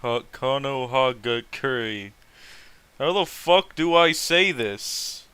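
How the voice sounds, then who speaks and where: Male online host, bright and unhurried delivery, casual mocking read-aloud, profane, incredulous reactions. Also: mid-range voice, expressive, smooth, no room sound. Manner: foul-mouthed, incredulous, breaks off to react. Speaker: host reading fan fiction aloud and commenting.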